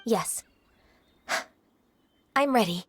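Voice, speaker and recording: A polite, earnest young half-immortal heroine in a fantasy game. Her voice is high and very animated in pitch, with music behind it. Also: very slow. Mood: apologetic, earnest. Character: gentle, earnest young half-human, half-adeptus woman. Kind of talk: video game voice acting.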